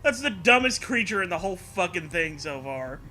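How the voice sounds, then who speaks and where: A man's voice: sits high, big pitch swings, a little fast, bright, forceful tone. A man co-hosting with casual, crude banter and silly joking interjections. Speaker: co-host.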